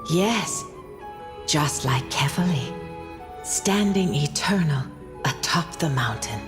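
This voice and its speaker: A woman's voice: deep voice, lively intonation, takes it slowly. A woman playing a solemn, motherly oracle in a fantasy game, tender and portentous. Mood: tender solemnity. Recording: music behind the voice.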